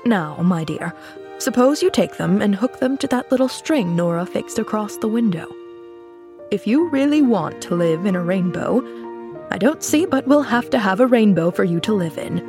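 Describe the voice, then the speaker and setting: A woman voicing a gruff, weary but wryly kind older gentleman in a storybook, with a dry, measured, commanding manner. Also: mid-range voice, expressive, music in the background, natural voice. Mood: kindly. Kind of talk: storybook character acting.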